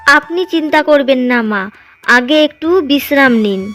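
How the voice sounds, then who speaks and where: A woman's voice: high voice, expressive, measured pace, fairly bright tone, soft background music. A woman playing a meek, dutiful young daughter-in-law in a village cartoon drama. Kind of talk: Bengali cartoon drama dialogue.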